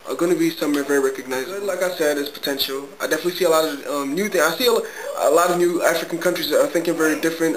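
A man's voice: on the high side, low-quality recording. A young man from Ghana, a student, talking earnestly and reflectively to a close webcam in a small bedroom. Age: young adult. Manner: earnest, reflective, repeats himself. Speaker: student interviewee. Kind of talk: casual talk to camera.

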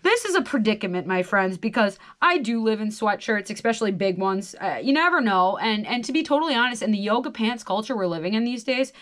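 A woman's voice: medium pitch, animated, a little fast, hardly any pauses, a bit bright. An American woman in her twenties chatting casually and reading a quiz aloud, with wry, self-deprecating asides. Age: 20s. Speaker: host of a solo quiz video. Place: small radio studio.

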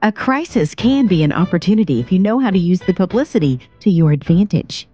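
Actress as shrewd big-sister strategist: mellow voice, animated pitch, assertive, drama medium. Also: female voice, brisk, slightly rough, lo-fi sound, soft background music. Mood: calculating. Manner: assertive, advising. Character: shrewd, commanding older sister scheming for the company.

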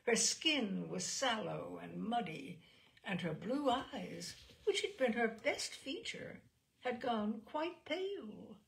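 A woman reading a literary short story aloud as a storyteller, with expressive, measured phrasing. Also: on the high side, gravelly, poor audio. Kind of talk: literary audiobook narration.

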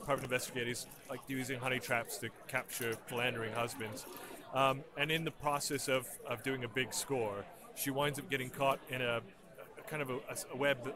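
A man, a comic artist, talking casually about his new comic at a convention, hesitant and searching for words. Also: mid-range voice. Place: convention floor. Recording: noisy convention crowd behind.

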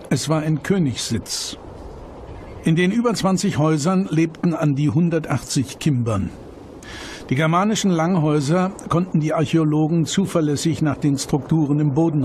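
A man reading scripted documentary narration with measured, dramatic phrasing and rhetorical questions. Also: fairly high pitch, a little mellow, slight background noise. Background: background music.

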